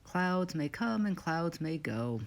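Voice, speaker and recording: Low-voiced woman guiding a meditation. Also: lively intonation. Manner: measured, soothing, in short phrases with pauses. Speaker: meditation guide. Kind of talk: guided meditation, scripted narration.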